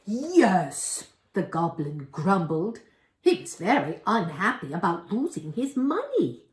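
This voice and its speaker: Woman in her 60s, storybook reader, lively intonation, measured pace, roomy indoor echo. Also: on the low side. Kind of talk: expressive storybook narration. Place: room at home, indoors.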